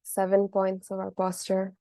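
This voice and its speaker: A woman guides a meditation in a mellow, relaxed voice with very little rise and fall. Her delivery is gentle and measured, leaving long pauses between phrases. The sound is dry and close, with no room around it. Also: medium pitch, dry recording. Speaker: meditation teacher.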